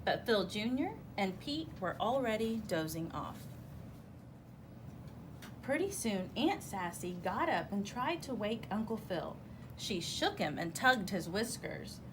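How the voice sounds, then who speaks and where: A woman narrating a children's storybook in an expressive storytelling manner. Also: mid-range voice, takes it slowly, background noise.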